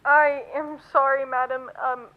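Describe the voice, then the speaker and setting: A woman's voice: sits high, level tone, measured pace, narrow, tinny audio. A woman playing a polite, unhelpful telephone operator with a formal, clipped manner in a radio play. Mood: polite, detached.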